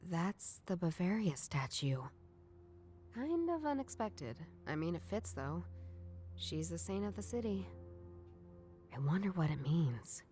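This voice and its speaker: A woman's voice: lowish voice, sing-song, measured pace, long pauses, mellow, soft background music. An actress voicing a wry young woman detective in a video game, musing dryly in scripted inner monologue.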